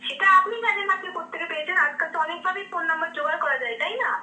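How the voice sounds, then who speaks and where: Young woman, West Bengal Bengali accent, very high and piercingly bright, indignant protests, echoey call with faint noise. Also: racing. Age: young adult. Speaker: prank call recipient. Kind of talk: phone conversation.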